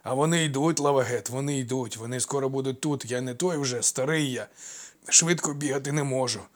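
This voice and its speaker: A man voicing an old male ex-soldier, out of breath and wheezing, talking loud and urgent. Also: medium pitch, fairly quick, lightly acted. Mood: rambling, breathless.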